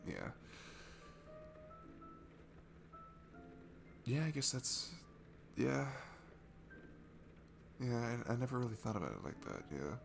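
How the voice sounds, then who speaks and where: A man's voice: mid-range voice, big pitch swings, normal speed, vocal fry, slight background noise. A young man playing a shy, nervous, sweet guy at a bar in an ASMR roleplay, talking in a hesitant, rambling, self-deprecating way. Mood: sheepish. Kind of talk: ASMR roleplay, one-sided intimate conversation.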